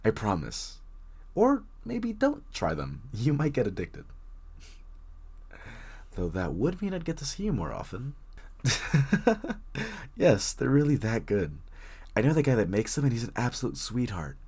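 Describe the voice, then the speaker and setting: A man playing a very shy, friendly young writer in an intimate coffee shop roleplay, speaking hesitantly with nervous stammers and a husky voice. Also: medium pitch, huge pitch swings, measured pace, long pauses, a little mellow, exaggerated voice. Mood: warming up, friendly. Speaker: audio roleplay voice actor. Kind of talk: intimate one-sided roleplay acting, casual chat.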